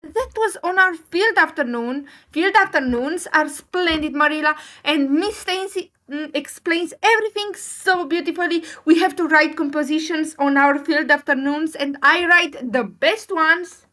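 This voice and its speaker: A woman's voice: high voice, measured pace, few pauses, sharp tone, voice pushed up. A woman voicing an earnest, dreamy, chattering schoolgirl in a storybook reading, effusive and wordy. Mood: dreamy, rapturous.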